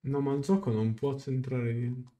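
A man's voice: medium pitch, steady tone, normal speed, mellow. A man in his twenties with an Italian accent, giving casual live game reactions and translations. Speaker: game streamer. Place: small room at home. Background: faint game music.

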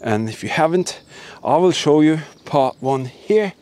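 A man's voice: fairly high pitch, lively intonation, a little slow, a little mellow, dry recording. A man hosting a history exploration video, narrating to camera as he walks through a forest with an emphatic, repetitive way of talking. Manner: enthusiastic, emphatic, repeats words. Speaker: host of history exploring channel. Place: outdoors in a forest and ruined buildings.

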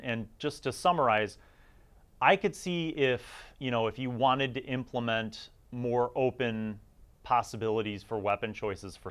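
A middle-aged man hosts a review series in a fairly low voice. His delivery is casual and conversational. He comes across as reflective, talking through his thoughts as he goes. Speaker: host of a gaming review series.

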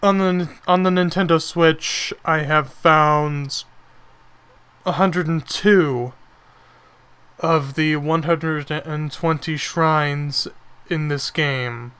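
A young man with a stuffy nose giving slow, halting casual commentary on a game from his bedroom. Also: on the high side, steady tone, fairly bright tone, smooth. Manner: slow, halting, self-correcting chatter. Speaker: gaming channel host. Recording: noisy home recording.

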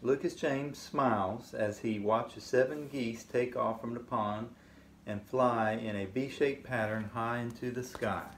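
A middle-aged man, a grandfather, reading a picture book aloud to his grandchild in a living room, slow and measured. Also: mid-range voice, steady tone. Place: living room couch, indoors. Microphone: phone or webcam in front of the couch. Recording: roomy echo, faint hiss.

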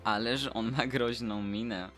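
A man's voice: medium pitch, fairly even intonation, unhurried, fairly bright tone, faint music behind, close to an everyday voice. A man voicing a cheerful, curious and slightly timid statue boy in an audio drama, exclaiming in a naive way.

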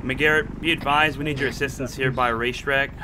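A man's voice: mid-range voice, fairly even intonation, brisk. Male gamer in police roleplay, clipped radio calls; noisy game audio behind.

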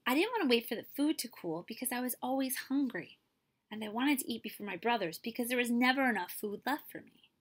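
A woman's voice: on the high side, animated, fast, husky. A woman voicing a timid, earnest, hungry little boy in a storybook.

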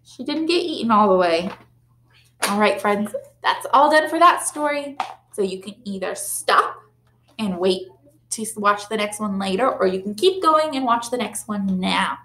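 A young woman teacher reading a picture book aloud to children, animated and playful, with big gasps and leaps in pitch. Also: normal speed, slight background noise. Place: small classroom, indoors. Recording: echoey classroom.

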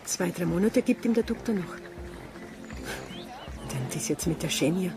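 A plain-spoken village farm helper talks in a Bavarian accent with a worried, sympathetic manner. It is naturalistic radio drama, with music clearly playing behind the voice. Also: female voice, fairly low pitch, expressive, moderate pace. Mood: worried, saddened. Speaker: actor in a radio crime drama.

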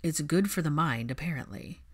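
A woman voicing a reserved, analytical man in a story reading, measured and understated. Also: low voice, lively intonation, husky. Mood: dry.

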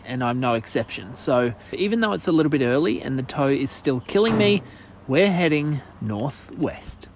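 A man narrating his vlog in a calm, matter-of-fact voice-over. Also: on the high side, slight background noise. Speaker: vlogger narrating.

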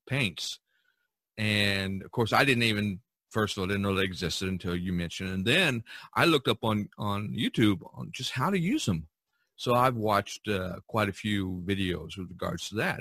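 A man hosting a podcast in a conversational style, pausing often mid-sentence. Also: sits low, creaky, a bit bright, no room sound. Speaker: podcast host.

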